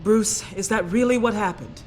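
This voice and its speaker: A woman's voice: medium pitch, moderate pace, darkish tone, lightly acted. An actress playing a concerned, clinical female asylum doctor in a video game, probing with her questions. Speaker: game character. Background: game score music.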